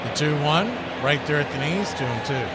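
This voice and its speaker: A man announces baseball in a loose, conversational way, with asides between pitches. His voice sits fairly high and moves around with plenty of life. Loud ambient noise runs behind him. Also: expressive, moderate pace. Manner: informal, chatty asides between calls. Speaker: play-by-play announcer. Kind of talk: casual baseball play-by-play commentary.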